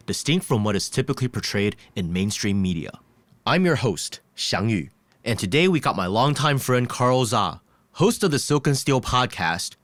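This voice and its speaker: A young man hosting a podcast, reading a measured scripted introduction and then chatting with his guest. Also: mid-range voice, a bit bright, no echo. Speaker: podcast host. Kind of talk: scripted intro and casual interview.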